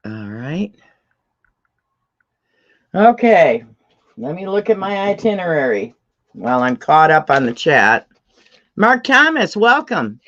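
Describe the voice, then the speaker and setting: Middle-aged woman host, deep voice, unhurried and chatty, slightly dull lo-fi stream sound. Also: sing-song, fairly bright tone. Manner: chatty, laughs often, reads chat comments aloud. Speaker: livestream host. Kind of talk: casual livestream chat.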